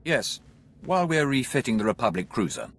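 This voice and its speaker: Formal, hard-nosed Imperial fleet commander. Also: male voice, medium pitch, sing-song, fairly quick, fairly bright tone. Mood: grim satisfaction. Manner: crisp, formal, military. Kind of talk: dramatic video game acting.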